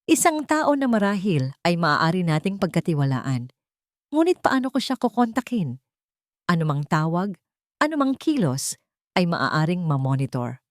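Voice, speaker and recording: A woman's voice: on the low side, very animated, moderate pace, dry recording. An elderly, wealthy widow in an audio drama, voiced by a woman narrator. She is hunted and wary, choosing her words with anxious care in a dry recording. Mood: worried.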